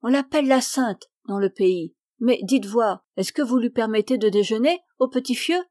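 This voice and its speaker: A wary old peasant servant woman, as a woman reader puts her on, talking loudly and quickly in a rustic way. The voice sits a little higher than plain narration and is recorded close and dry. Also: animated, no echo, lightly acted. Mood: garrulous.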